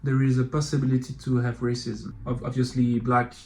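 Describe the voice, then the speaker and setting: Young guy chatting real slow and careful, lots of pauses, room sounds echoey. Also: mid-range voice, little pitch movement, warm tone. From Belgium. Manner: slow, thoughtful, hesitant. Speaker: co-host of a couple channel. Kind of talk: casual chat. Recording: echoey room, faint noise.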